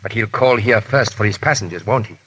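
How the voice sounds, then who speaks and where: An actor playing a stern, sardonic French Revolutionary agent in a formal, commanding manner in a 1930s radio drama. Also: male voice, on the low side, brisk, a bit bright, husky. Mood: harsh, threatening. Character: stern, menacing male French Revolutionary agent, coolly triumphant. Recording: muffled-edged vintage 1930s radio broadcast.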